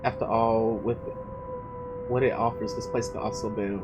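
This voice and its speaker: A man with a fairly low voice reads visual novel narration as a let's play host. His delivery is informal and casual, and game music plays loudly behind him. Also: level tone, normal speed. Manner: informal, slangy asides between read passages. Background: loud game music.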